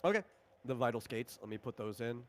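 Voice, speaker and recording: A man with a mid-pitched voice runs a tabletop roleplaying game as game master. He talks through rules and equipment in an unscripted, explanatory way. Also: lively intonation, brisk.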